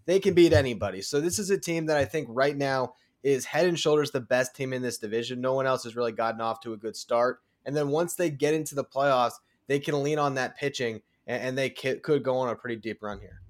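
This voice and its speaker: Male baseball analyst, middle-pitched. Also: brisk, few pauses. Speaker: baseball podcast host as guest analyst. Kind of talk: conversational sports analysis.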